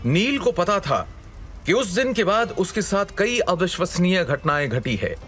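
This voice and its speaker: A man's voice: high-pitched, very animated, measured pace, a bit bright, faint music behind. A man narrating a scripted dramatic story as a storyteller, in a suspenseful manner.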